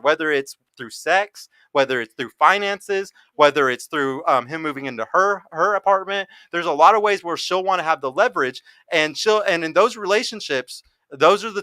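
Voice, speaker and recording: A man's voice: on the high side, bright, forceful tone, dry recording. A man in his thirties hosting a casual panel debate, speaking informally and swearing freely. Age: 30s. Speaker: podcast host.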